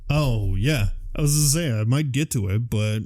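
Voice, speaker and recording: A man's voice: medium pitch, moderate pace, darkish tone, no echo, clean studio sound. A man co-hosting a casual, unscripted chat, talking in a loose, rambling way and sounding tired. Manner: rambling, loosely strung thoughts. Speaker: podcast co-host. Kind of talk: casual unscripted chat about films and games.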